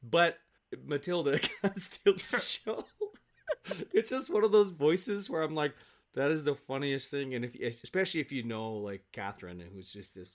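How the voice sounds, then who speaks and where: A man's voice: fairly high pitch, moderate pace. Enthusiastic man chatting as an actor guest. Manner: effusive, animated storytelling with acted-out quotes. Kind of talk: casual podcast conversation.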